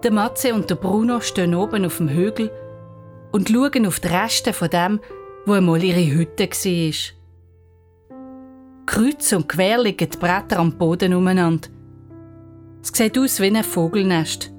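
A woman's voice: mid-range voice, unhurried, long pauses, a little mellow. A woman narrator reading a scripted children's story. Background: music.

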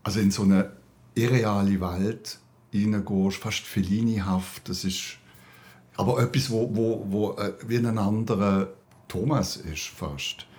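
A man's voice: lowish voice, steady tone, unhurried, darkish tone. A man interviewing conversationally, phrasing his thoughts tentatively and thoughtfully.

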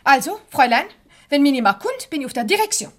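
Aggrieved, impulsive wife, higher voice, Swiss accent, effusive, radio play comedy. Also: female voice, sing-song, fast, fairly bright tone. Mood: resolute, agitated.

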